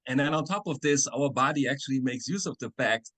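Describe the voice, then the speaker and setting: A man's voice: mid-range voice, fairly quick, fairly bright tone. A middle-aged man, a chemistry professor answering interview questions on a video call, explaining patiently with many "uh"s and tag questions. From Germany. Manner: explanatory, frequent "right?" and "uh".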